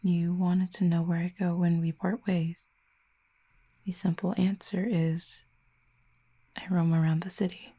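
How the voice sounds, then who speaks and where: A woman playing a gentle, wistful cupid exiled from her home, talking softly and slowly to the listener, often close to a whisper, in an audio roleplay. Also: on the low side, little pitch movement, slightly rough. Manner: soft, hushed and slow, often near a whisper.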